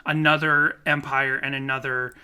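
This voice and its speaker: A man co-hosting a podcast in casual chat, animated and laughing often, with rambling restarts. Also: medium pitch, moderate pace, a crowd in the background. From Korea. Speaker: podcast co-host.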